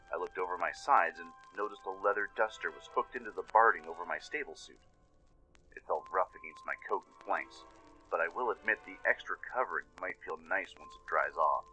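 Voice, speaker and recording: A man narrating a dramatic first-person story in an expressive, suspenseful manner. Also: deep voice, poor audio. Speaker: narrator and producer of a fan audio drama. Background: music.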